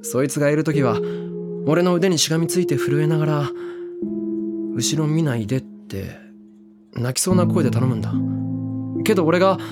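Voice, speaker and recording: A brash young man who loves danger, slightly higher-pitched than most men. He talks in slangy, clipped casual speech, with music playing clearly behind him in this drama CD scene. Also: expressive, normal speed, long pauses. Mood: uneasy. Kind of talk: drama CD acting. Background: background music.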